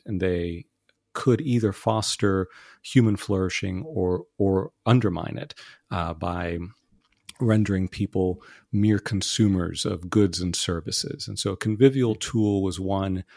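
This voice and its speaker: A man being interviewed as a podcast guest, explaining ideas in a measured way with frequent "uh" and "um" fillers. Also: sits low, creaky, darkish tone, dry recording, studio quality. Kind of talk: thoughtful interview answers.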